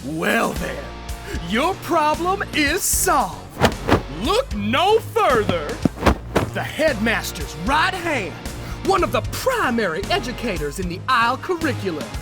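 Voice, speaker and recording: Flustered, very animated male professor, acted out. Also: mid-range voice, takes it slowly, over loud music. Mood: chirpy, eager. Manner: stammering, nervous laughs. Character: flustered, cheerful, chirpy male professor, eager to please. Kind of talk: animated comic fandub acting.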